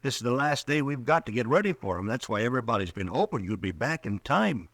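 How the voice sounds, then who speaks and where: An actor playing an anxious, fussy small-town storekeeper in a 1950s radio drama. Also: male voice, medium pitch, big pitch swings, fast, fairly bright tone, no room sound. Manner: fussy, anxious, eager to please. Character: jittery small-town storekeeper, middle-aged man.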